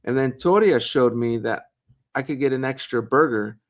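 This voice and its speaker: A man's voice: medium pitch, moderate pace. Chatty middle-aged man co-hosting. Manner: chatty, jokey, reads viewer questions aloud. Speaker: podcast co-host reading live comments. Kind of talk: casual live Q&A chat.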